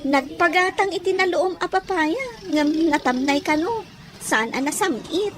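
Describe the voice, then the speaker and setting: A woman's voice: very high voice, unhurried, a crowd in the background. A woman playing a modest, affectionate young woman in an audio drama, respectful to her elders. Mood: chatty. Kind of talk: acted Ilocano audio drama.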